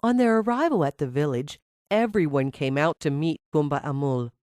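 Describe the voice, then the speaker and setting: A woman's voice: low voice, moderate pace, a little mellow, dry recording, studio quality. A woman narrating a scripted fairy tale for children as a storyteller.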